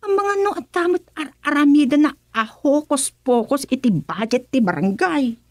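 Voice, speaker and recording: A woman's voice: high-pitched, expressive, moderate pace, dry recording, clean studio sound. A woman playing a gossipy, slyly suspicious village woman in a comedic radio drama.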